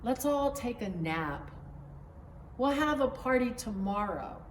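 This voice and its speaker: A woman voicing God as a playful, kindly little girl in a children's storybook, with gentle, inviting phrasing. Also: on the high side, animated, takes it slowly. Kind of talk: children's picture book read-aloud. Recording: roomy echo, distant mic, faint noise.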